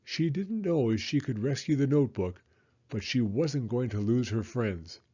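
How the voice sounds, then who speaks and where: A man narrating a children's story as an expressive read-aloud. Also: mid-range voice, moderate pace, husky. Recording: slightly dull, muffled audio.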